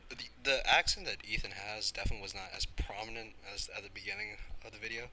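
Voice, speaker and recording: Low-voiced young man, rambling. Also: fast, pushed and bright, slight background noise, low-quality recording. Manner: rambling, many fillers, joking. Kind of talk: casual chat.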